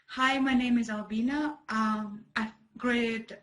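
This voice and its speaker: A woman's voice: a little high, steady tone, takes it slowly, fairly bright tone. Young woman. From Kazakhstan. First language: Russian. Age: young adult. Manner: careful, hesitant. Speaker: student speaker.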